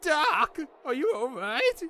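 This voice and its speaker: Guy doing a jittery teen boy in a story, stammery, way up high, swoopy. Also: sing-song, measured pace, fairly bright tone, full character voice. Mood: alarmed. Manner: stammering, anxious. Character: nervous, inquisitive teenage boy sidekick.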